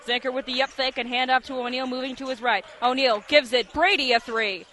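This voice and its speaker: A man with an extremely high, bright voice does live basketball radio play-by-play. He calls the action in clipped, descriptive phrases. Faint gym noise and bouncing balls sit behind him. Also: steady tone, a little fast. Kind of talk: live sports radio commentary. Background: basketball game ambience, bouncing ball.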